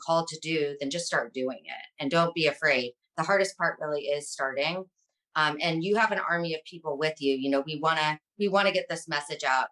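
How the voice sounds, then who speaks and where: A woman's voice: deep voice, a bit bright, rough recording. A woman speaking as an interview guest, answering earnestly in a conversational way. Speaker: interview guest, online advocate and influencer.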